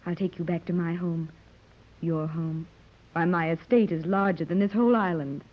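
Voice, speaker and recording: A woman's voice: lowish voice, steady tone, normal speed, natural voice. A woman playing a tender, anxious wealthy American mother, earnest and melodramatic in 1930s radio serial style. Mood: resolute. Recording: muffled old 1930s radio recording.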